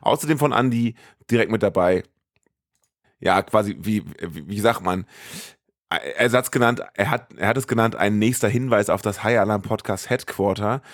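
A man's voice: lowish voice, normal speed, no room sound, clean studio sound. A man co-hosting a podcast in casual chat, playful and rambling, often interrupting himself. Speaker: podcast co-host.

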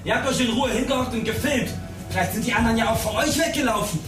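A needling, accused teenage boy in a radio drama. His voice is strikingly high, heard from a distance in an echoey space, always insistent and defensive. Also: fast, music in the background. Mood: insistent, suspicious. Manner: provocative, insistent. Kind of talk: German radio drama acting.

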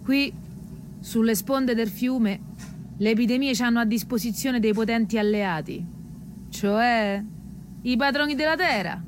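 A woman's voice: on the high side, a little slow, a little hiss or hum, lightly acted. A woman playing a proud, tough, world-weary prostitute with a Roman accent, earthy and defiant in radio theatre. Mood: scornful.

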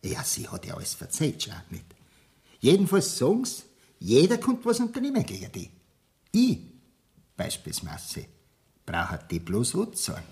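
An actor with a Bavarian accent playing a cautious, insinuating innkeeper in a radio play. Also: male voice, a little high, wildly swooping pitch, measured pace, long pauses, darkish tone, exaggerated voice. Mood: doubtful, insinuating. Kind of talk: radio play acting in dialect.

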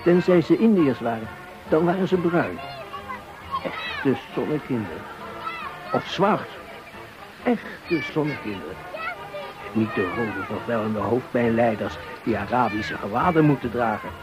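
An actor playing a bitter soldier who declaims war memories in clipped poetic phrases for a radio drama. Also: male voice, medium pitch, lively intonation, unhurried, pauses often, music in the background, natural voice. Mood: bitter, intense.

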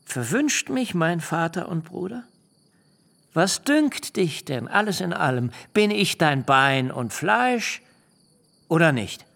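An actor playing a formal, deliberate nephew who justifies himself, in a literary radio drama. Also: male voice, a little high, sing-song, gravelly, heavily acted. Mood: pressing, pleading. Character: measured, self-justifying nephew, a practical schemer. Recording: loud music behind the voice.

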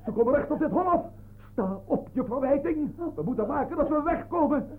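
An actor playing a sly, teasing, predatory fox of a colleague in a radio play, theatrical and insinuating. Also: male voice, extremely high pitch, expressive, brisk. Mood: alarmed, urgent. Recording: tinny, noisy old radio recording.

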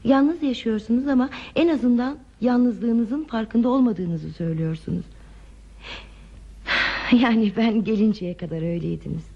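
An actress playing a lonely, reflective wanderer in a radio play, speaking in a measured, wistful, philosophical manner. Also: female voice, on the high side. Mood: melancholy, reflective. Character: lonely, reflective wandering woman without belongings. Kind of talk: Turkish radio theater acting. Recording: dull, muffled radio drama recording.

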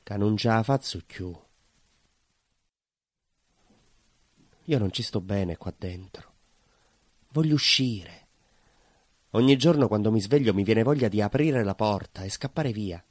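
Haunted southern Italian baker, low-voiced. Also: male voice, expressive, moderate pace, no echo. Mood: despairing, wistful. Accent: southern Italian, Calabrian. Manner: short broken phrases, insistent repetition. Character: imprisoned Calabrian-emigrant baker, bitter, lovelorn, haunted, simmering with rage. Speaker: stage actor in a monologue. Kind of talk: theatrical dramatic monologue.

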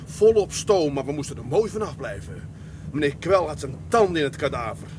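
Bitter, indignant seaman, higher-pitched male voice. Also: sing-song, a little fast, noisy background. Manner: indignant, blunt, cursing. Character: embittered male tugboat seaman, veteran of salvage work. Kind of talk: dramatic dialogue acting in Dutch audio drama.

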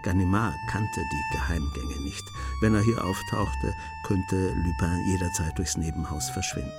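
A man narrates a scripted radio play. His voice sits fairly low and he tells the story in a measured way. Music runs behind his words.

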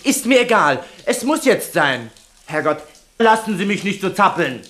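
Radio drama casting: stubborn, impetuous teenage boy, very animated pitch, demanding, in an echoing room. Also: normal speed. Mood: impatient, disgusted. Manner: insistent, blunt, impetuous. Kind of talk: 1960s German radio play acting.

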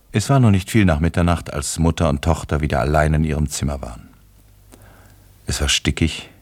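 Guy narrating a classic literary radio drama, deep low voice, scripted storytelling.

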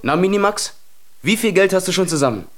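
An actor playing a teasing, boisterous and easily alarmed farmhand in a children's radio play. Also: male voice, sits high, expressive, brisk, over music. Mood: teasing, breezy.

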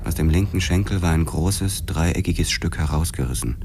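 An actor playing a brooding, terse young police detective who narrates a noir crime drama in a measured, sombre way. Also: male voice, low-pitched, background noise. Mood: grim. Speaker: first-person narrator.

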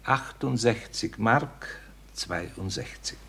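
An actor playing a flustered, obsequious bailiff in a radio comedy, hesitant and stammering. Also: male voice, mid-range voice, slow pace, vocal fry, bright, forceful tone, breathy edge, heavily acted. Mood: polite. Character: flustered, deferential bailiff passed off as a nobleman. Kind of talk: German radio play comedy acting.

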